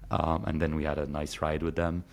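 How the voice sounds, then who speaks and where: Podcast guest: man in his thirties from Egypt, deep and even, loose and chatty with many restarts. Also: a little fast, gravelly. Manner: rambling, many fillers and restarts. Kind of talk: casual conversation.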